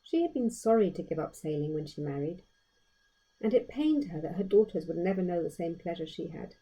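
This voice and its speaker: Woman reading a children's story, gently husky. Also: on the low side, expressive, poor audio. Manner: measured, storytelling delivery with emphatic phrasing. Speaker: author reading own story. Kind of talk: expressive children's audiobook narration.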